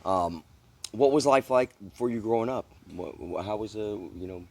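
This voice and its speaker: A middle-aged man from the American South interviewing a guest, speaking thoughtfully with many halting restarts. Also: on the low side, a little mellow, no echo. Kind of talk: casual interview.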